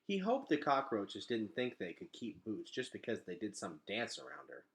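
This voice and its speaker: A man reading a children's novel aloud as an expressive storyteller. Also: lowish voice, moderate pace.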